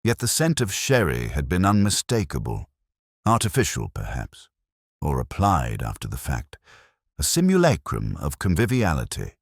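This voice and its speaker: A man playing a weary, erudite police inspector, narrating his case in a measured, melancholy, sardonic way as a literary audio drama. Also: very deep voice, huge pitch swings, husky, dry recording, clean studio sound, full character voice. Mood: brooding.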